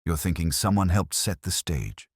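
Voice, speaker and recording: A man's voice: low voice, sing-song, moderate pace, slightly rough, no echo, studio quality, full character voice. A man voicing a practical male police sergeant who speaks plainly and matter-of-factly.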